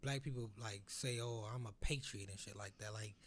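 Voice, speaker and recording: A man's voice: on the low side, brisk. An American man co-hosting a podcast, chatting reflectively in slangy, sometimes profane speech and repeating phrases as he goes. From United States. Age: adult over 25. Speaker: podcast co-host. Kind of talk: casual reflective conversation.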